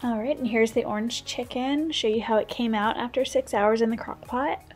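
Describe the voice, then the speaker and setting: A woman's voice: a little high, fairly even intonation, moderate pace, darkish tone, faint music behind. Chatty mom narrating her cooking. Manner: chatty, practical, explains tips as she goes. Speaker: stay-at-home mom cooking vlogger. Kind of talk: casual cooking voice-over.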